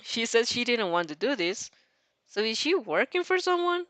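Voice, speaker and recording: A woman reads a story aloud with a very lively, swinging intonation. She is giggly and stumbles here and there as she reacts to the text, in a dry, close sound. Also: on the high side, normal speed, dry recording. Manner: giggly, stumbles over words. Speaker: fan fiction reader reacting as she reads. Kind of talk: expressive story reading with amused reactions.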